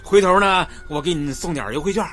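A man's voice: high-pitched, brisk, fairly bright tone, soft background music. An actor playing a boastful, wheedling male security guard in a Chinese radio drama, slangy and eager, with a Beijing accent. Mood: chummy.

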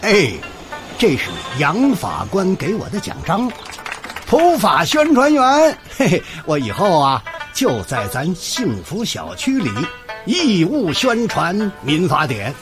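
A man's voice: sits high, wildly swooping pitch, takes it slowly, over music, full character voice. An actor playing a chatty retired courthouse doorman in a Chinese radio drama, an older man with a Beijing accent and a folksy, theatrical storytelling manner. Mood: folksy, enthusiastic. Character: chatty retired courthouse doorman, eager neighborhood law volunteer. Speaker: storytelling host and lead character of a radio drama.

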